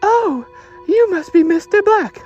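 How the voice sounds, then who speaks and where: Casting: man in falsetto as a melodramatic noir damsel, pleading wife, very high swooping voice. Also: lively intonation, measured pace, darkish tone, lo-fi sound, faint music behind. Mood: distraught, pleading. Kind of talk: 1940s detective radio parody.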